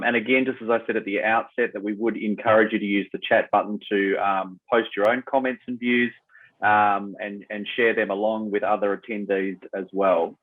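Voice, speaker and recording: A man chairing an online panel discussion, moderating and handing over to speakers. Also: on the low side. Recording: tinny phone-like online call audio.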